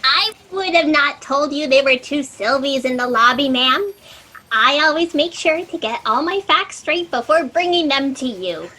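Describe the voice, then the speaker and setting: Audio comedy role: actress as chatty, oblivious female office fact-checker, very high and swoopy. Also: expressive, measured pace, pushed and bright, exaggerated voice, low-quality recording. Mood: apologetic, chipper. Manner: rambling, oblivious, chirpy. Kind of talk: comedic audio drama acting.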